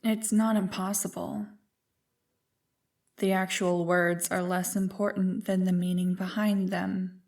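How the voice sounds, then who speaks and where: A woman playing an ancient evil female spirit in an ASMR roleplay, seductive, smug and condescending in a deliberate, teasing manner. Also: on the low side, flat delivery, darkish tone, distant mic. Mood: amused, condescending.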